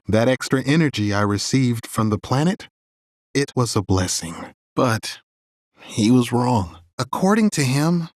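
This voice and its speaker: An actor playing a big, gentle young man in a video game, earnest and a little hesitant. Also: mid-range voice, no room sound, clean studio sound, close to an everyday voice.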